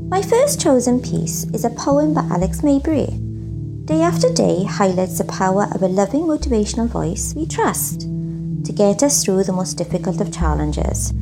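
Woman doing a scripted intro, really up and down melody, bit of vocal fry, music behind. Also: medium pitch. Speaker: guest presenter introducing chosen writing.

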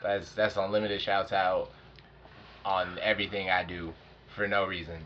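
Low-voiced joking man in his twenties. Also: flat delivery, moderate pace, pushed and bright. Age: 20s. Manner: loose, joking, trails off into asides. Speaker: podcast co-host and rapper. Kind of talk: casual chat. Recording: dull lo-fi sound with faint noise.

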